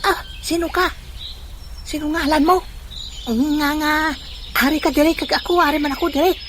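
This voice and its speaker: A woman playing an excitable, baffled old man in a comic audio drama, exclaiming and questioning. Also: high-pitched, moderate pace, background noise, lightly acted. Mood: amazed, curious.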